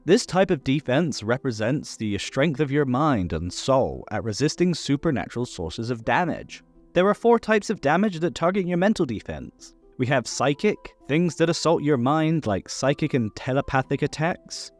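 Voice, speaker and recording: A man's voice: mid-range voice, animated, moderate pace. A man presenting a tabletop game rules guide in a methodical, scripted explainer style. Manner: clear, methodical instructional delivery. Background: background music.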